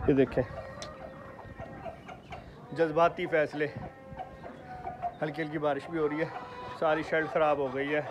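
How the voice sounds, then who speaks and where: Young male vlogger, fairly high voice, chatty, loud calls to his birds, outdoor phone recording with clear noise behind. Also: highs cut off. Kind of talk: casual selfie vlog. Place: rooftop poultry yard outdoors, light rain. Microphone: phone held at arm's length.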